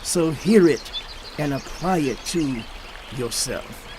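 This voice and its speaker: A man playing a biblical elder who earnestly counsels a suffering friend in a solemn, measured dramatized scripture reading. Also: on the high side, expressive, noisy background. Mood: uplifting, emphatic.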